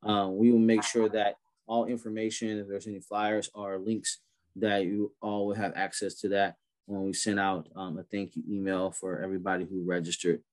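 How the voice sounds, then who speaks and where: A man in his thirties hosts an online gathering over a video call. He speaks in a lowish voice, easygoing and full of small fillers and restarts. The sound is plain laptop-call audio. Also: flat delivery, normal speed, a little mellow. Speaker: event host. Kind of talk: casual video call hosting.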